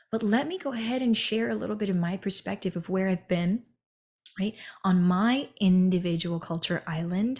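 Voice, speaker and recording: A woman from the United States hosts a podcast alone and tells her own story. She talks to the listener directly and keeps asking "right?" as she goes. Her voice sits at an ordinary middle pitch for a woman. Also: darkish tone. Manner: earnest, addresses listeners directly, often adds "right?". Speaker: podcast host. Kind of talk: personal storytelling monologue.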